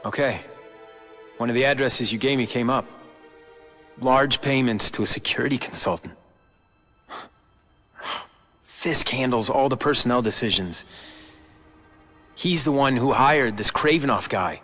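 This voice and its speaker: A man's voice: mid-range voice, a little slow, pauses often, pushed and bright. A man with an American accent voicing an embittered, brooding young corporate heir in a video game cutscene. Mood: wary. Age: young adult. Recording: long hall-like reverb. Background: background music.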